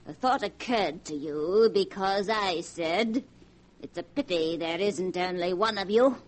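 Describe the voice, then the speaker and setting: A woman's voice: on the low side, unhurried, lightly acted. An actress playing a cunning old grandmother in a 1940s radio drama, mocking and gloating with cackling laughs. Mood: sly. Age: older.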